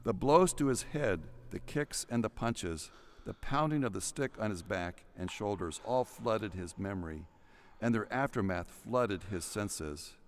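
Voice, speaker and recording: A man's voice: fairly low pitch, expressive, a little slow. A man narrating an audio drama, reading a scripted story in a dramatic storytelling style. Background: music.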